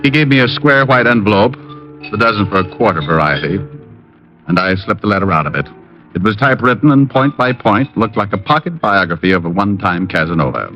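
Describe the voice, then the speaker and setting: An actor playing a cocky, easygoing private detective in a vintage radio drama, with dry, flippant delivery. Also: male voice, fairly low pitch, very animated, fairly quick, fairly bright tone, soft background music. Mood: wry narrating. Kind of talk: 1940s radio acting. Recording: dull vintage radio recording.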